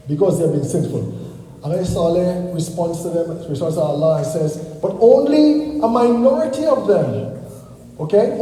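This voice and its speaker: A man's voice: sits high, warm tone. A man giving a religious lecture and answering questions, talking conversationally and often checking in with "okay?". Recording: echoey hall with long reverb.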